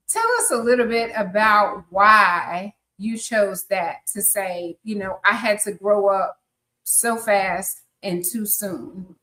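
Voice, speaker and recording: A woman's voice: mid-range voice, a bit bright, poor audio. A woman in her fifties hosting a live podcast interview, chatty and encouraging. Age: 50s. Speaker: podcast host.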